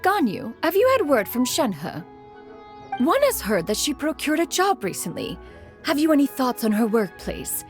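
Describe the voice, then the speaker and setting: A woman's voice: sits high, big pitch swings, normal speed. An actress voicing a proud, ancient female immortal in a video game, formal and aloof, calling herself "one". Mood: haughty. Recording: game audio with faint music behind.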